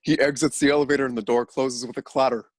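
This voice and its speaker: A man narrating an absurd story in a mock-dramatic, deadpan style. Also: medium pitch, fast, no room sound.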